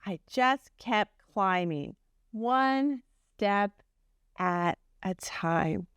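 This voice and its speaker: A woman's voice: mid-range voice, expressive, very slow, clear, resonant tone, no echo. A woman voicing a wistful, anxious but determined egg, telling its picture book story earnestly. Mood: nervous, determined. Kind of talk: first-person picture book narration.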